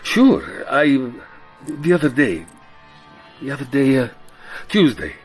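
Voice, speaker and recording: Radio drama nervous male client: earnest, guarded, halting, mid-pitched, light music behind. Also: big pitch swings, slightly rough, heavily acted. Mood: worried, halting. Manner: hesitant, halting, guarded, formal. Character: anxious, earnest, suspicious male client of a psychic. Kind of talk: audio drama acting. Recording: music under the voice.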